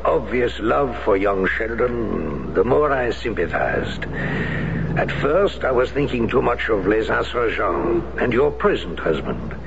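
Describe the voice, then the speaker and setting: A wary, formal former resistance fighter. He speaks with careful hesitation and a lively, rising and falling delivery. The sound is a muffled, hissy old radio broadcast. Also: on the low side, measured pace, vocal fry, heavily acted. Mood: sympathetic. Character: guarded male French former resistance fighter. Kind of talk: 1970s radio drama acting.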